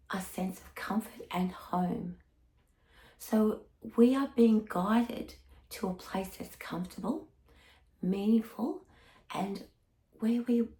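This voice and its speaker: A woman with a hoarse, gravelly voice talks through a weekly tarot reading in a mellow, dark tone. Her delivery stops and starts, sometimes sinking to a breathy whisper. The room around her sounds echoey. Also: mid-range voice. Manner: halting, stop-start delivery with slips; drops to a breathy whisper at times. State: hoarse, croaky voice. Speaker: tarot reader. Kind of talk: weekly tarot forecast talk.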